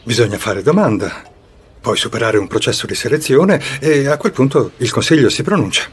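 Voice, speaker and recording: A man voicing a courteous, smug older asylum director in an amateur drama fan dub, speaking in a measured, formal, condescending way. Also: mid-range voice, animated, a little hiss or hum, lightly acted. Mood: politely firm.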